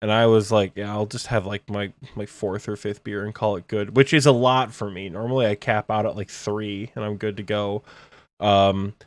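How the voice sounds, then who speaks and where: Tired twenties man, low-pitched, wry jokey podcast co-host, dry sound. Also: level tone, moderate pace, no echo. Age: 20s. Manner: joking, swears casually. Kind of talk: casual podcast chat.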